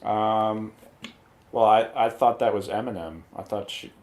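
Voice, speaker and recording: A man speaking as a guest reviewer in casual, somewhat halting chat. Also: lowish voice, darkish tone.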